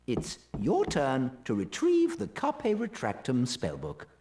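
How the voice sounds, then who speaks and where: A man's voice: medium pitch, huge pitch swings, slow pace, very noisy, full character voice. A man voicing a fussy, formal male wizard professor, speaking in a prim, lilting way in a game cutscene. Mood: pleased. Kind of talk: video game cutscene acting.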